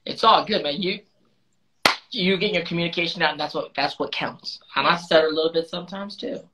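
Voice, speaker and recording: A man's voice: on the high side, brisk, very noisy. A man hosting a casual call-in live stream, laid-back and friendly, calling people dude and man. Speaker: host.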